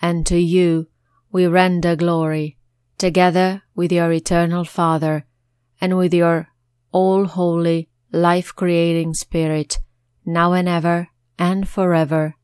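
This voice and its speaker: A woman with a fairly low voice recites prayers slowly and reverently. Each line is measured and even. The sound is dry and close, with no room around it. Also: clean tone, no room sound. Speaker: prayer leader.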